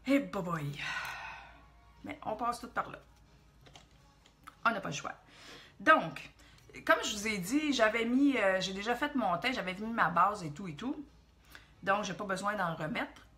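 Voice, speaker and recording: Québécois woman, 40s, bright tone, chatty rambling makeup tutorial host. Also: mid-range voice, animated, measured pace, pauses often, faint music behind. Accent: Quebec French. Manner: chatty, rambling, thinks aloud.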